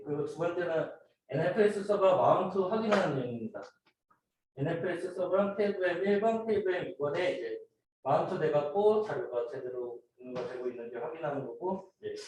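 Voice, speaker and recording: A man giving an informal, somewhat halting student project presentation, explaining slides and a demo step by step. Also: fairly high pitch, animated, a little mellow. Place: indoor room, voice picked up from a distance. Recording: very echoey room, distant mic, noisy.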